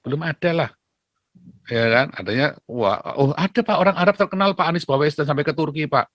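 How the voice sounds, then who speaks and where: A man talking casually as a host, opinionated and animated, mocking and fond of rhetorical questions. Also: on the high side, a little fast, no room sound, dull audio. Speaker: talk show host.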